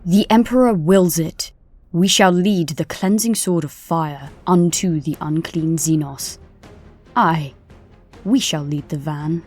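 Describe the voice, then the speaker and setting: Zealous battle sister voiced by a male narrator, raised pitch, very slow solemn declamation, husky tone, for a war audiobook. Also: a little mellow, faint music behind. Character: devout female warrior canoness, solemn and zealous.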